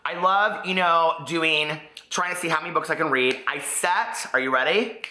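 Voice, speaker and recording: A man chatting casually to camera about his reading, his voice still partly gone and coming back. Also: medium pitch. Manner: chatty, rambling, expressive. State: voice partly lost, recovering. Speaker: book vlogger. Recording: echoey room.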